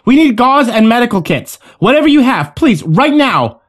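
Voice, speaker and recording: A man's voice: very high voice, sing-song, moderate pace, voice pushed up, heavily acted. A man voicing an urgent, commanding woman medic who calls out orders and pleas in a story. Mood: urgent shouting. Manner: shouting orders, pleading.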